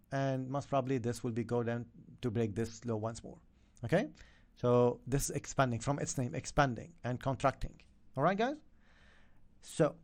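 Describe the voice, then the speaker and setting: Man with an Arabic first language, fairly high pitch, energetic trading coach on a live stream. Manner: energetic, repeats "okay?" and "yeah?" to viewers.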